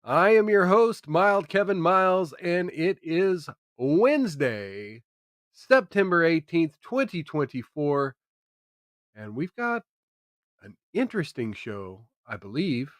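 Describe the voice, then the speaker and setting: A man's voice: a little high, fairly bright tone, clear, resonant tone, no echo, studio quality. A man hosting a live stream with casual, irreverent news commentary, sometimes reading articles aloud in an informal way.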